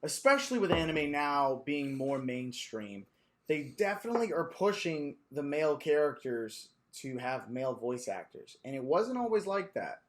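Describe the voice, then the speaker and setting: A man with a deep voice hosting a casual chat, emphatic and opinionated. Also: big pitch swings, normal speed, a crowd in the background. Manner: emphatic, opinionated, with easy laughter.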